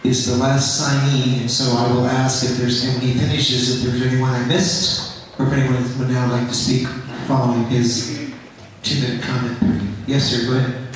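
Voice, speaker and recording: Flat-toned, echoey male moderator. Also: mid-range voice, moderate pace. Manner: formal, courteous. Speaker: hearing moderator. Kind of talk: procedural announcements. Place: large indoor hearing hall. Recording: distant mic, echoey hall, faint noise.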